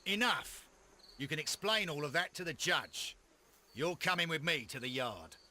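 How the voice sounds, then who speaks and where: An actor playing a brusque, eager Victorian police inspector in a video game, with a British accent and an authoritative, blustering manner. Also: male voice, fairly high pitch, animated, moderate pace, sharp tone, slight background noise. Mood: stern, impatient. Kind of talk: scripted video game acting.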